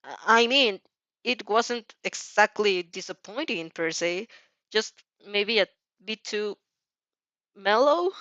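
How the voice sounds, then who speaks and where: A woman voicing a prickly, insecure female shadow double in story dialogue, halting and stammering with emotion. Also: mid-range voice, animated, bright, forceful tone, no echo, muffled recording. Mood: flustered, bashful.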